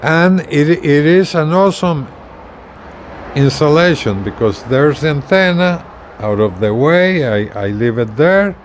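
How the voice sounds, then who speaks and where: A man giving a casual product demonstration as a video presenter, talking haltingly and repeating words as he explains. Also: a little high, expressive, a little hiss or hum. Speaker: hobbyist video presenter, truck driver.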